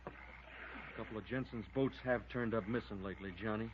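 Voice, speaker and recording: A man's voice: lowish voice, moderate pace, close to an everyday voice. An actor playing a folksy, level-headed small-town lawman and peacemaker in a 1950s radio drama, on an old radio recording. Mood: measured. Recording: dull, clearly noisy vintage radio recording.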